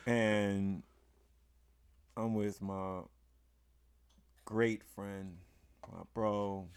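Hoarse man hosting a hype podcast. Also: fairly low pitch, level tone, drawn-out words. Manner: hype, emphatic, bursts into loud exclamations. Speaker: podcast host. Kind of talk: casual podcast chat.

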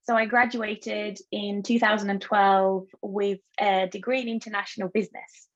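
A woman in her thirties, raised in Bulgaria, talks through her background in a relaxed interview. She is friendly and reflective and often pauses to pick her words. Her voice sits at a middle pitch, and the recording sounds a little dull and lo-fi. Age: 30s. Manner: friendly, thoughtful, with frequent pauses and fillers. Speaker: guest, cloud solution architect. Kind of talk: casual interview answers.

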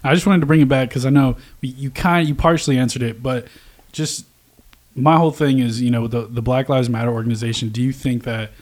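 A man's voice: medium pitch, fairly even intonation, a little fast, darkish tone. A man chatting casually as the interviewer and host of a podcast.

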